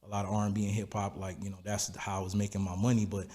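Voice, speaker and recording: A raspy-voiced man, a music producer and singer, telling stories in a relaxed, rambling way in a podcast interview. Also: fairly low pitch, quick pace. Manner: relaxed, rambling, repeats "you know what I'm saying". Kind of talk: casual interview storytelling.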